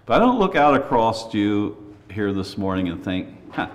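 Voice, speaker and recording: Older male preacher, low voice, slight rasp, earnest folksy sermon delivery. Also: sing-song, normal speed, dull audio. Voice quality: raspy. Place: church.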